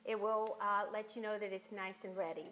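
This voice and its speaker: A woman's voice: mid-range voice, little pitch movement, brisk, pushed and bright, low-quality recording. A young woman hosting a cooking show from a home kitchen, explaining steps in a chatty, instructive way. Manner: chatty, instructive, says okay often. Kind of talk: cooking demonstration.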